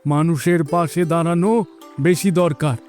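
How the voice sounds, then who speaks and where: A man playing an earnest, sympathetic village fisherman in an animated moral story. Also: a little high, moderate pace, soft background music, natural voice. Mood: concerned, determined. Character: sympathetic village fisherman eager to help neighbours.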